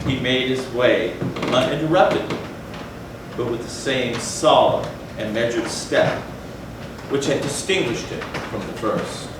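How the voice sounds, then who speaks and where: A man's voice: mid-range voice, unhurried. Solemn male narrator in a very echoey hall. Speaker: narrator in readers theatre. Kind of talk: dramatic stage reading of gothic horror. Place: indoor theatre, recorded from a distance. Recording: very echoey hall, far mic, noisy.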